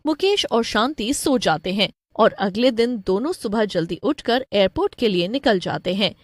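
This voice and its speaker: A woman's voice: medium pitch, animated, moderate pace, no echo. A woman narrator giving a scripted narration of a cartoon story.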